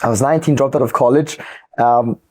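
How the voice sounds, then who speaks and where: Guy in his 20s telling his story in an interview, relaxed mellow voice, kinda halting, says right a lot. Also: mid-range voice. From Switzerland. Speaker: guest, startup founder.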